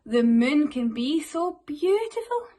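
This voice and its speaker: A woman's voice: sits high, big pitch swings, takes it slowly, dark tone, exaggerated voice. A woman voicing a sensitive, clumsy male giraffe in a children's storybook, speaking earnestly. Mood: wistful.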